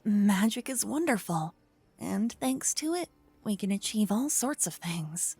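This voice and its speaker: Woman acting as a shy sweet paladin girl, soft breathy mellow voice, takes her time, fantasy asmr roleplay. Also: medium pitch, big pitch swings, dry recording, exaggerated voice. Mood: earnest, explaining. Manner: soft, breathy, gently flustered, slightly halting. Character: earnest, bashful young female paladin, affectionate fantasy adventurer. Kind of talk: scripted ASMR roleplay addressed to the listener.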